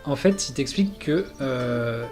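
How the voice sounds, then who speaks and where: A man's voice: mid-range voice, animated, measured pace, a little mellow, soft background music. A man running an online tabletop game as game master, casual and hesitant, with many fillers.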